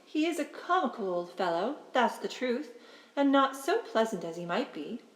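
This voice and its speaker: A woman playing a miser's kindly, forgiving niece in a storybook drama, speaking in a measured, theatrical way. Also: a little high, sing-song. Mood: amused. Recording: very echoey hall reverb.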